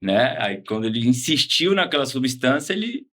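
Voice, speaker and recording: A man's voice: medium pitch, sing-song, moderate pace, a bit bright. Laid-back Bahian man, slangy chat. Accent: Bahian Portuguese. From Salvador, Bahia, Brazil. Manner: laid-back slangy talk with frequent tag questions. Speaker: guest, former patient. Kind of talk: casual interview chat.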